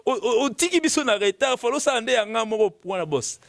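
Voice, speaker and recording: A man's voice: very high voice, expressive, fairly quick, creaky, slight background noise. A man with a Congolese accent talking casually and giving opinions, often repeating words as the argument builds. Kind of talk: casual opinionated talk mixing French and Lingala.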